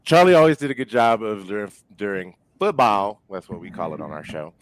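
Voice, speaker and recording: A man guesting on a podcast, chatting casually in a halting, wry way. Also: lowish voice, lively intonation. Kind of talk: casual chat.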